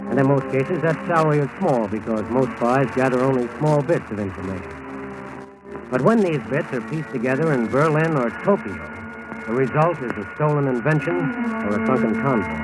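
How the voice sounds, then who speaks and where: Male narrator, mid-pitched, serious and factual, vintage radio spy tale with strong musical backing. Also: lightly acted, poor audio. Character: grave, matter-of-fact narrator of a spy story. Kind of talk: 1940s radio dramatic narration. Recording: old 1940s radio recording, music behind. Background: prominent music.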